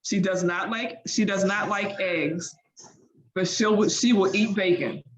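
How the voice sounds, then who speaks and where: A middle-aged woman chatting casually as a co-presenting chef, quick to react and chime in. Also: mid-range voice, fairly even intonation, poor audio. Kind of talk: casual chat.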